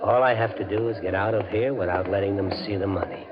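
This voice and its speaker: An actor playing a desperate, excitable gambling everyman in a 1950s radio suspense drama, with intense, emphatic delivery. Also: fairly low pitch, quick pace, heavy background noise, close to an everyday voice. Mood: gloating. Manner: intense, muttering to himself, emphatic. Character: desperate gambling-addicted everyman bank worker, excitable and brooding. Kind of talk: 1950s radio suspense acting, first-person narration and dialogue. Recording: dull 1950s radio recording, loud music.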